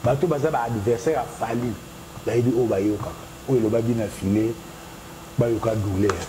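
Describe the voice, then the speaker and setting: A man speaking in short halting bursts in a played street clip. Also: medium pitch, steady tone, background noise.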